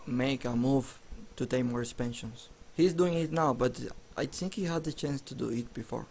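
A man's voice: medium pitch, moderate pace, a little mellow, a little hiss or hum. A man casting a strategy game match in casual commentary, joking and often restarting his words. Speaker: esports commentator.

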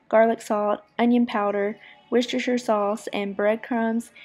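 Chatty woman narrating cooking. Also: medium pitch. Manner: relaxed, chatty, friendly explaining. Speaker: cooking vlogger. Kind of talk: casual cooking voice-over.

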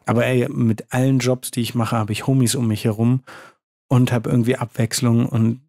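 A man's voice: mid-range voice, flat delivery, normal speed, warm tone, dry recording, clean studio sound. A man talking as an interview guest in casual, slangy, colloquial speech. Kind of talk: casual chat.

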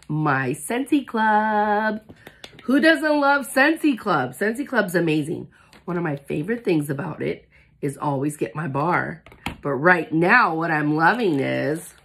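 A woman in her forties who has lost her voice, talking hoarsely with a cough in a chatty, gushing unboxing vlog, seated in a small room in front of a phone camera. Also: deep voice, a bit bright, a little hiss or hum. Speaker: independent product consultant. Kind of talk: casual product unboxing vlog. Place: small indoor room with shelves, seated at a table.

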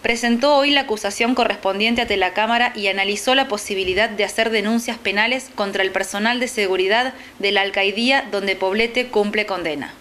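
A woman presenting local news from a small studio in a steady scripted delivery. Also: medium pitch, a little fast, hardly any pauses, slight background noise. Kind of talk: news reading.

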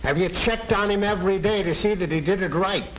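A man's voice: sits high, a little fast, a bit bright. An actor playing a blunt American father prodding his grown son with pointed remarks in a 1940 training film. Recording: noisy old 1940 film soundtrack.

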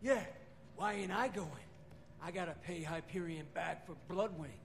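A man's voice: high voice, normal speed, gravelly. An actor playing a sullen, vengeful male gunslinger in a video game, speaking informally. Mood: sullen, wry.

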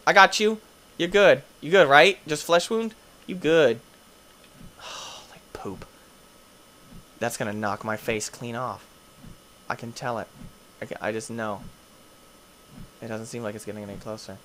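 A young man doing goofy gameplay commentary, tired, his voice deeper late at night, swinging between low mumbles and excited yelps. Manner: goofy, playful, jumps between mumbling and yelping. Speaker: gaming youtuber.

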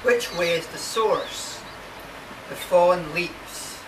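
Guy in his 40s reading poems real slow, noisy and far from mic. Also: sits high. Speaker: poet reading own work. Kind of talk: live poetry reading, short measured phrases. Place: marquee with a small seated audience. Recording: distant, echoey, noisy live recording.

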